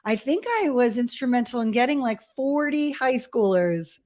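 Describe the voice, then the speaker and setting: A middle-aged woman from Ethiopia, telling personal stories as an interview guest in a candid, animated way with self-deprecating humour. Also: a little high, moderate pace. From grew up in Ethiopia as a missionary kid. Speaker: podcast guest. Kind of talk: conversational personal storytelling.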